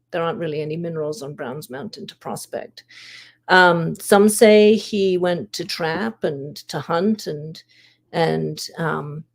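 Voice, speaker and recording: Low, creaky-voiced woman presenter. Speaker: volunteer history investigator presenting research. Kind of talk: prepared slide presentation.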